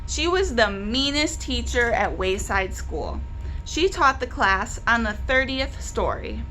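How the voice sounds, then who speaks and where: A woman hosting a library book club, reading a children's storybook aloud with deliberate, animated emphasis. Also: a little high, a little hiss or hum, low-quality recording.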